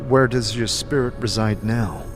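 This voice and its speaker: A man playing a bewildered amnesiac wanderer in an audio drama, speaking in a halting, unsure manner. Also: on the low side, in a large hall, natural voice. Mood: solemn, curious. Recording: music bed under the voice.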